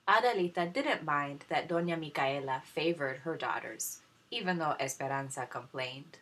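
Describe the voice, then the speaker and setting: A woman teacher reading a children's storybook aloud in an expressive narrating style. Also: sits low, moderate pace, slightly rough.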